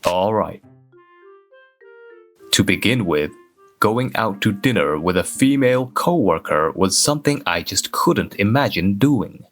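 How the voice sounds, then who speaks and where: A male actor as a reserved office worker in a manga dub, speaking low and very slowly. He is socially awkward and understated, telling his own story in a measured deadpan over a music bed. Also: exaggerated voice. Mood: measured, wistful. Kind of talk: scripted first-person narration in a manga dub.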